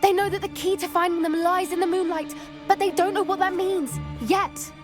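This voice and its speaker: A woman's voice: extremely high pitch, fairly even intonation, moderate pace. A woman voicing an earnest, anxious teenage witch in a video game, polite and a little hesitant. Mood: urgent pleading. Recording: music behind the voice. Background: music.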